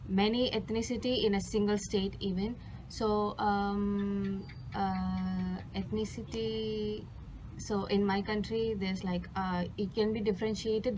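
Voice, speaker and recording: Indian student, woman, mid-pitched, filler-heavy answers, noisy spot. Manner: fluent but filler-heavy, many "like" and "um". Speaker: interviewee, international student. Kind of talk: casual spoken answers. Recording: noisy background.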